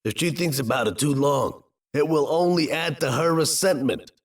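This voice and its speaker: A man playing a calm, patient advisor in an audio drama, measured and questioning. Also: fairly high pitch, lightly acted. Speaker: voice actor. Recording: music under the voice.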